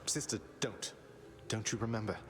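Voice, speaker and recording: An actor playing a kind-hearted young prince in a video game, gentle, earnest and pleading. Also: male voice, fairly low pitch, normal speed, lightly acted. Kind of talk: dramatic video game voice acting.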